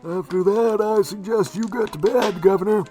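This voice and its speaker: A man's voice: high-pitched, normal speed, mellow, close to an everyday voice. Guy doing a blunt protective masked hero, dry, music behind. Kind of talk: fan radio drama acting.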